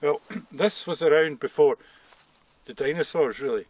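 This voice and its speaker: A man's voice: on the high side, moderate pace, darkish tone, no room sound. A man in his fifties giving an informal, explanatory talk as a geology guide in the field, repeating phrases for emphasis. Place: outdoors at a quarry rock face. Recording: clearly noisy outdoor recording with clicks.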